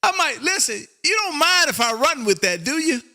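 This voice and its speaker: Man, American accent, high pitched, very animated, gravelly, exuberant folksy radio operator chat. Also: normal speed. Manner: exuberant, folksy, repeats catchphrases. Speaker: amateur radio operator and livestream host. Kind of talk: casual on-air ham radio chat.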